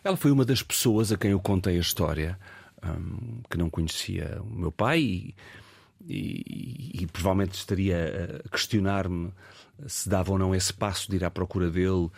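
A Portuguese man in his fifties with a European Portuguese accent, an actor talking reflectively in an interview, pausing and restarting as he thinks. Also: deep voice, gravelly, a little mellow, no room sound. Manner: reflective, hesitant with many fillers and restarts. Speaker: actor and guest. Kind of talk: casual interview conversation.

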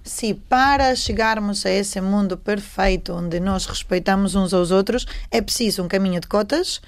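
A woman's voice: mid-range voice, moderate pace. A woman taking part in a panel discussion, explaining her views earnestly and emphatically in unscripted speech.

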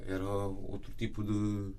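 A young man from Guinea-Bissau, raised in Senegal, a Wolof speaker, chatting as an interview guest in a relaxed, slangy way, often adding yeah and tag questions. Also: lowish voice, a little slow, a little hiss or hum. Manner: laid-back slangy talk, frequent yeah and tag questions. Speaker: guest, rapper. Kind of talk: casual interview talk.

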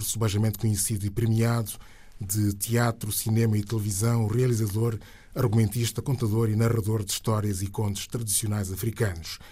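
A man hosts a radio interview with a mellow, rather low voice. There is a husky grain to it. His delivery is measured and formal, with deliberate, literary wording.

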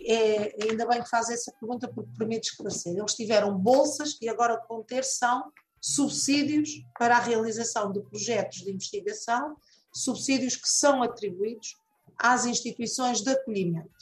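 A woman foundation director, answering interview questions deliberately, with frequent hesitations. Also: on the high side.

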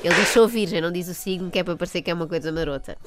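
A woman's voice: lowish voice, racing, background noise. A woman with a European Portuguese accent delivering a scripted comic monologue as a radio host, in a wry, mock-earnest manner.

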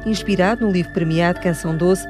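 A woman reporter narrates a radio culture piece from a script, her voice sitting lowish and steady. Soft music plays faintly beneath her. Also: fast.

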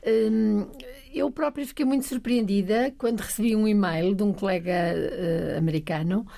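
A middle-aged woman with a European Portuguese accent, a guest in a relaxed radio interview, telling her story with warmth and frequent laughter. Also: mid-range voice, normal speed, gravelly. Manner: warm, laughing, lively storytelling.

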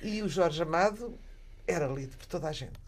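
A Portuguese woman in her sixties with a European Portuguese accent, chatting about books in an animated, halting way. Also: low-pitched, crowd noise. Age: 60s. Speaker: radio book show co-host. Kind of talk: casual literary chat.

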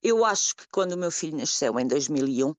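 A middle-aged woman from Portugal speaks with a European Portuguese accent. She answers interview questions slowly and reflectively, repeating words and pausing as she thinks. The sound is dry and close, with no room echo. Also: low-pitched, animated. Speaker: guest, emigrant.

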